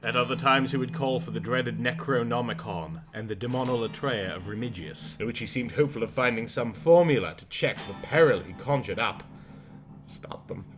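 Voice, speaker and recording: A man's voice: medium pitch, brisk, few pauses. A man reading scripted literary horror fiction as an audiobook narrator. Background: guitar music.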